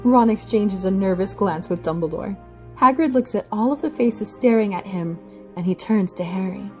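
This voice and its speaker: A woman's voice: mid-range voice, moderate pace, mellow. A woman giving dramatic scripted narration for an audio play, with music behind her.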